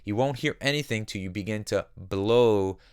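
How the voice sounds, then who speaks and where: A man narrating a casual music software tutorial, explaining each step in simple terms as the host. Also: fairly low pitch, moderate pace, smooth, no echo, studio quality. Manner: explanatory, uses simple analogies.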